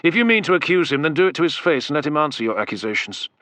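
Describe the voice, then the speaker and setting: A man's voice: on the high side, sing-song, very fast, fairly bright tone, no echo, voice pushed up. A man playing a curt young commander. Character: young impatient male officer in command.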